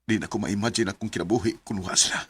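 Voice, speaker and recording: A man's voice: fairly low pitch, steady tone, fast, a bit bright, breathy edge. An actor playing a wounded, devoted young man in a radio drama, with a Cebuano Filipino accent. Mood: earnest, tender. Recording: music bed behind the voice.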